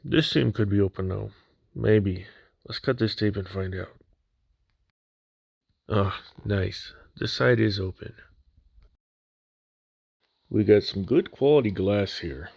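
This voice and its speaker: A man's voice: fairly low pitch, slow pace, creaky, pauses often, a little mellow, slightly rough, no echo. A man narrating an unboxing voice-over of a scale model kit in a casual way with dry humor, his voice a little worn after nearly losing it. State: recovering from near voice loss.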